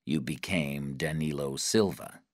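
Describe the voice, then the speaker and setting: A man voicing a cold male interrogator, calm, measured and threatening, in an audiobook. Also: rumbling low, dry recording, studio quality. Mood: calm probing. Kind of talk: audiobook character dialogue.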